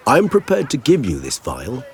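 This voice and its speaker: An actor playing a courteous, erudite gentleman in a video game, speaking formally and eloquently. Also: medium pitch, wildly swooping pitch, normal speed. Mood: smoothly persuasive. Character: courteous, erudite, composed gentleman, shrewd and silky.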